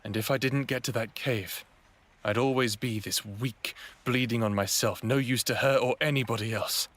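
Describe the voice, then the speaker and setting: A male actor plays a wounded human smartass in a fiction podcast. His voice is rough and husky at an average male pitch. He is wry and self-deprecating, with anxious emotion under the sarcasm. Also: fairly even intonation, normal speed, a bit bright, lightly acted. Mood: weary, wry. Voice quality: raspy. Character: wounded sardonic human man, thirty-eight, anxious and self-blaming. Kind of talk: first-person audio drama narration and dialogue.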